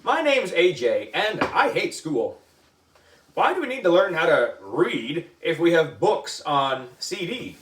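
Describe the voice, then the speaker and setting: A man reads a children's story with big swings in pitch and theatrical emphasis. He takes his time over the words, and he sounds set back from the mic in an echoey room. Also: big pitch swings, takes it slowly, a bit bright, far from the mic, a little hiss or hum. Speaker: book reader for a children's book club. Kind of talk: animated children's story reading.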